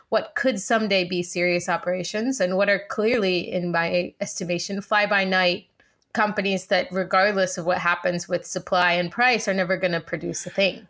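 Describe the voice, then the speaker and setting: A woman's voice: medium pitch, fairly bright tone. Articulate, dryly wry female podcast co-host. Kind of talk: conversational market discussion.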